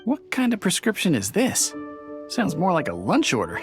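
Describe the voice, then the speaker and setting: Polite bemused herbalist for anime game; slightly high, lilting delivery over clear music. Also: male voice, wildly swooping pitch, moderate pace. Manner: courteous, bemused. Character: polite, wry male herb shop clerk. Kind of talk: anime video game dialogue.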